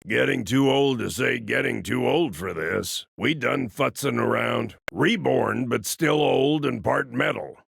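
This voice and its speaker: An actor playing a gruff, grizzled old part-machine gunfighter in a video game, with an American accent, a gravelly husky voice and a wry, folksy, grumbling drawl. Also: male voice, medium pitch, a little slow, few pauses, fairly bright tone, dry recording, exaggerated voice. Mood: weary, wry. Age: older. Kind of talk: video game character voice lines.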